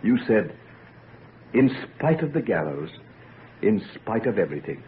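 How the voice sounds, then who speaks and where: Through a thin, hissy old radio recording comes an ardent young gentleman. He is earnest and remorseful yet sure of himself, with a lively, swooping delivery in vintage drama style. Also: fairly low pitch, unhurried. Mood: insistent. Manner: earnest, pleading, impassioned. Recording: noisy, narrow-band vintage radio recording.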